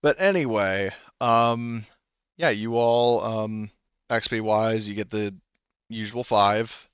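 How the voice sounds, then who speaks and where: A man runs a tabletop game in a low, smooth voice, pausing often on fillers. The recording is dry and a little muffled. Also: a bit bright, no echo. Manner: hesitant, many fillers. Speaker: game master.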